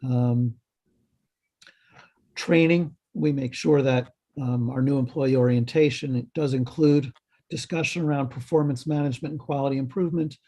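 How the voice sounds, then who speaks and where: A man giving a halting update at a health committee meeting over a video call, slightly hoarse, with many ums and restarts. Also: mid-range voice, steady tone, warm tone. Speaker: health department staff presenter.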